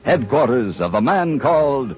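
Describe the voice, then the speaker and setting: A man's voice: mid-range voice, very animated, moderate pace. A man announcing in a formal, emphatic, scripted 1950s radio style. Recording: dull lo-fi old radio, music behind.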